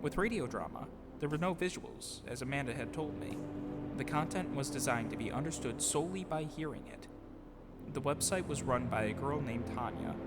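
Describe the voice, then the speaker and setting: A man giving an earnest, reflective scripted narration of his own life, with short acted dialogue. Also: medium pitch. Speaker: narrator, audio drama producer and actor. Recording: long reverb, clear music behind. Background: music bed.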